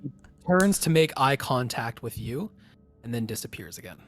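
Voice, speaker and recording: A man running a tabletop game as game master, narrating the action casually and thinking aloud in halting phrases. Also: mid-range voice, lively intonation, faint music behind.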